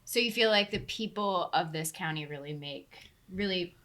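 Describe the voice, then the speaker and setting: A woman's voice: fairly low pitch, normal speed, fairly bright tone. A woman hosting an interview podcast, asking questions in an upbeat, friendly way. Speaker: podcast host. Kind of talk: casual interview chat.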